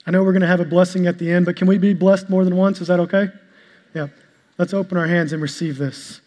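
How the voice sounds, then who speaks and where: A male pastor preaching a sermon to his congregation, with an even delivery he describes as monotonous. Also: high-pitched. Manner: calls himself monotonous and boring-voiced; preaching, shifts to a soft, slow prayer. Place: church sanctuary. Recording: long hall reverb with soft instrumental music.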